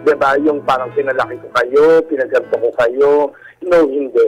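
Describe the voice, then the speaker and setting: A man's voice: mid-range voice, fairly even intonation, normal speed, lo-fi sound. A middle-aged man, telling his personal story politely as a radio interview guest and mixing in some English. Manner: polite, uses respectful markers, mixes in English. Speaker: guest caller, bar comedian. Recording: music bed under radio talk.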